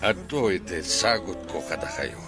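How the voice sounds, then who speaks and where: A man's voice: low voice, animated, normal speed. A man with an Ilocano accent playing a formal, gracious wealthy patriarch in a radio drama. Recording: music playing clearly behind.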